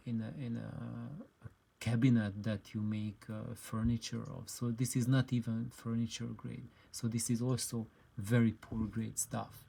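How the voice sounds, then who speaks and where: A man presenting an informal explainer, speaking haltingly and often repeating himself as he searches for words. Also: medium pitch, creaky, dark tone, breathy edge. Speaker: presenter of an audio DIY channel. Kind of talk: informal explanatory video talk.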